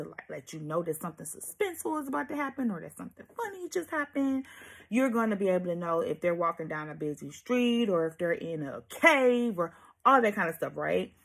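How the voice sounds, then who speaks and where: A woman hosting a casual vlog, talking in an animated, emphatic and sassy way with a slightly husky voice. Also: on the low side. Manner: animated, emphatic, sassy asides with pet names. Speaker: host of an audiobook review vlog. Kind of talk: casual chatty video commentary.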